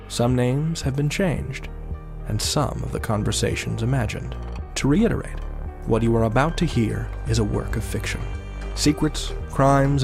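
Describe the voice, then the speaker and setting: A man hosting an audio drama, narrating a scripted introduction with theatrical, deliberate phrasing and wry asides. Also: medium pitch. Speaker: podcast host, narrator. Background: music.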